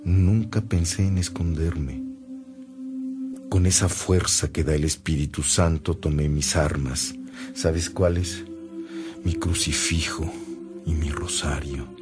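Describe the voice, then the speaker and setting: Old saintly priest, deep voice, slow delivery, tender mentor, fervent, radio drama, loud music behind. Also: male voice. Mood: solemn, earnest. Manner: solemn, fatherly, measured.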